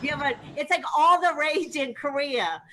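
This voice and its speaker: A woman's voice: sits high, moderate pace. A young American woman from Ohio doing chatty stand-up storytelling at an online open mic, with lots of fillers and frequent laughs. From Ohio, USA. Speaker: stand-up comic. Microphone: video call. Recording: faint background noise, video call.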